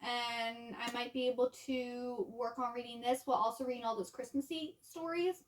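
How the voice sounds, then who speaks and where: A woman's voice: on the high side, steady tone, moderate pace, a little hiss or hum, poor audio. A woman chatting casually and informally, like a book vlogger talking to viewers, with asides and trailing thoughts. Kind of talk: casual chat to camera.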